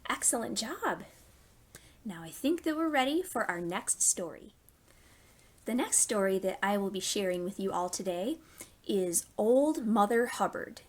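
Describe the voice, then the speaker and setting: A woman hosting a storytime for young children, reading stories and giving simple, clearly phrased instructions. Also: mid-range voice, animated, unhurried. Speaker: children's library storytime host.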